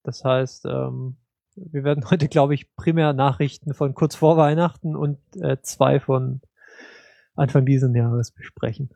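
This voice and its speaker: A man co-hosting a casual podcast chat, talking haltingly with many fillers and restarts. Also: mid-range voice, fairly even intonation, gravelly, warm tone, no echo. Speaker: podcast co-host.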